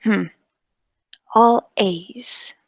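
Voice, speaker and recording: A woman voicing a brisk, calm, businesslike police inspector in a radio drama. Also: a little high, vocal fry, dry recording, studio quality, heavily acted. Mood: calm, official. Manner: businesslike, clipped.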